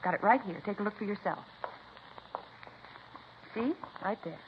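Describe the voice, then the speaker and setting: A woman's voice: mid-range voice, normal speed, lightly acted. An American woman playing a chatty, self-assured supermarket cashier in a 1950s radio drama, folksy and emphatic, repeating herself. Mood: eager, certain. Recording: muffled, hissy 1950s radio recording.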